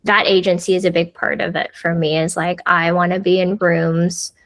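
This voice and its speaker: A woman's voice: on the low side, level tone, normal speed, a bit bright, no echo. A woman, a podcast guest talking casually with lots of fillers. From United States. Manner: chatty, lots of fillers like "like" and "you know". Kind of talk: casual conversational interview.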